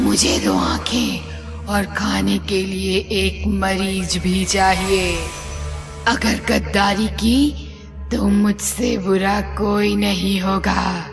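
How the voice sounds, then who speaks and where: A cruel blind witch who eats people, drawls each taunt very slowly while her pitch swoops up and down. She cackles and menaces her victims over loud background music in a cartoon horror tale. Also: creaky, exaggerated voice. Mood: menacing. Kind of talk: cartoon voice acting.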